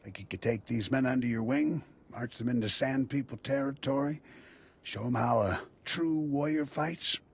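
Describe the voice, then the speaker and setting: A dour desert militia commander in a video game. He has a husky, mid-pitched voice and gives orders plainly, like a seasoned fighter. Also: normal speed. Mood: gruff approval.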